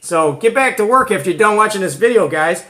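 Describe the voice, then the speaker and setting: A man's voice: high-pitched, animated, a little fast, sharp tone. A man from Georgia hosting a hobby show and tell, chatting casually and informally with plenty of slang. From Georgia, United States. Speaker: host of a CB radio hobby show.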